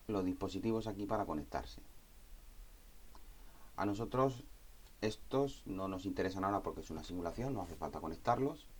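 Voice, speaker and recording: Low-voiced man explaining software setup. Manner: explanatory, with frequent "¿vale?" and "¿no?" check-ins and self-corrections. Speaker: astronomy equipment instructor. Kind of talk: screen-recorded software tutorial.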